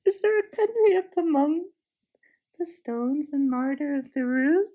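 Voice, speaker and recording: A soft, musical witch queen who coaxes and half-mocks everyone she speaks to. A woman reading the story puts her on, keeping the voice quiet and sweet. The pace is unhurried and the tone stays a touch high. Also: expressive. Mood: coaxing. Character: sly, soothing witch queen, coaxing and half-mocking.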